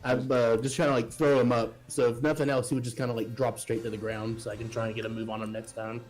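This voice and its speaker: A man's voice: mid-range voice, little pitch movement, fast, darkish tone, poor audio. A man chatting informally as a player in an online tabletop game. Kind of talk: casual chat during an online game. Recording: music playing behind the voice. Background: music.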